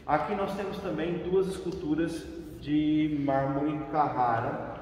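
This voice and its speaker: A man's voice: fairly high pitch, fairly even intonation, slow pace, darkish tone. A man with a Brazilian accent giving an informal, explanatory guided tour, often adding small check-in tags as he explains. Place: large reverberant crypt indoors. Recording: very echoey stone crypt, distant, faint noise.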